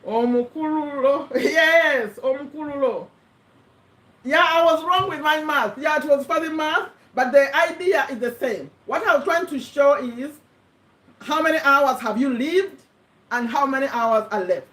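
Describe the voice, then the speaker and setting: A woman's voice: high-pitched, measured pace, fairly bright tone, rough recording. A woman in her fifties from Uganda, talking casually to camera, rambling and correcting herself as she goes. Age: 50s. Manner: rambling, self-correcting, earnest. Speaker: vlogger. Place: USA.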